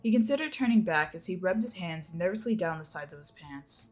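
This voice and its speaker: A woman's voice: fairly low pitch, expressive, brisk. A young woman narrating a dramatic reading of a fan-fiction story. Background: music.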